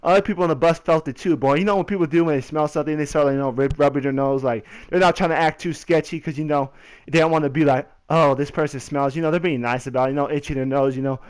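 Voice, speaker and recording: A man's voice: on the high side, fairly even intonation, racing, darkish tone, clean tone. A young American man telling a casual story over gameplay in a slangy, conversational way, talking straight to his listeners. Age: young adult. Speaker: gaming video storyteller.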